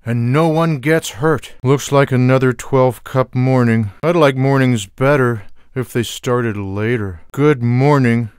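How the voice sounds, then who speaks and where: A man voicing a lazy, sarcastic, food-loving cartoon cat in a video game, with wry, deadpan, drawling one-liners. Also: mid-range voice, measured pace, hardly any pauses, smooth, no room sound, studio quality, natural voice. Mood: dry, sardonic.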